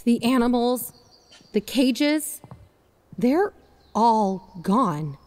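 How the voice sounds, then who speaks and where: An actress playing an earnest investigator who hosts a mystery podcast in an audio drama. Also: female voice, fairly high pitch, expressive, extremely slow, a little mellow, slight background noise. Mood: shocked. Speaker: podcast host character and investigator. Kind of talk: audio drama narration and dialogue.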